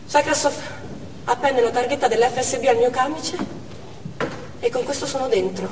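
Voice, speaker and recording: A woman's voice: high voice, normal speed, natural voice. Woman actor, worried determined doctor mother, stage drama narration, recorded from afar, long hall reverb, noticeable noise. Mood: tense. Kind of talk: dramatic stage monologue in present tense. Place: on stage in a theatre. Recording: echoey theatre hall from far off, noisy.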